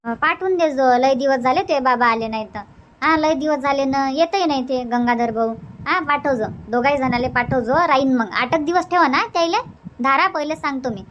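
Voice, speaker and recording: A woman's voice: high voice, moderate pace, a bit bright, slight background noise. A woman voicing a hospitable, bossy village grandmother in a cartoon comedy, chatty and insistent, with a Varhadi Marathi accent. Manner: chatty, insistent, repeats herself.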